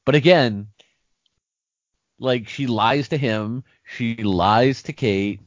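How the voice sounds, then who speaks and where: A man co-hosting a podcast in casual chat, joking, animated, often restarting his sentences. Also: medium pitch, slow pace, dry recording. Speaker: podcast co-host. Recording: dry, dull lo-fi sound.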